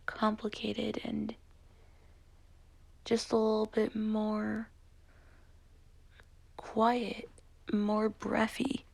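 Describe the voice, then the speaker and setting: A woman talks through her thoughts on music in a hushed whisper, pausing often with small fillers. Her voice sits a little high and catches on a gravelly fry. She speaks very slowly and gently, as if close to the mic. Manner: soft whispering, hesitant with fillers. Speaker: vlogger reviewing songs. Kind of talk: whispered ASMR-style music commentary.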